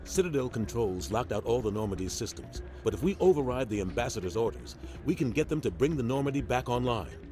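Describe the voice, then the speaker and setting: An actor playing a loyal, determined middle-aged captain in a video game, speaking gravely and resolutely. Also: male voice, mid-range voice, very animated, a little fast, few pauses, mellow, soft background music, natural voice. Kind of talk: dramatic video game acting.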